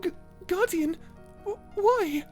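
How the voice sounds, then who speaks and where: Tiny feisty mouse: high, swooping voice, excitable and proper, for audio drama. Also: female voice, slow pace, soft background music, full character voice. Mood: alarmed, indignant. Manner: excitable, indignant, proper, stammering. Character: cute, feisty, earnest little mouse companion. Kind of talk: character audio roleplay.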